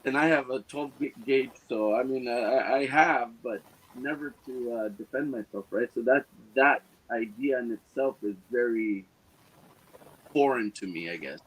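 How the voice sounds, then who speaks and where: A man's voice: medium pitch, breathy edge, low-quality recording. Congested man from Ontario. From Ontario, Canada. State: congested, losing his voice. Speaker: guest caller.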